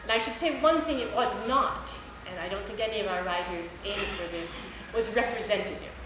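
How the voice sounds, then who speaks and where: Female book editor, deliberate public-talk delivery, medium pitch, heavy room echo with clear background noise. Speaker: book editor speaking at a launch. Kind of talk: prepared public talk, literary introduction. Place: echoey indoor room at a public event.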